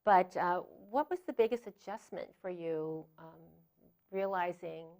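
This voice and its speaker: A woman hosting a community TV interview show, encouraging her guest with easy, warm questions. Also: lowish voice, measured pace. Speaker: talk show host.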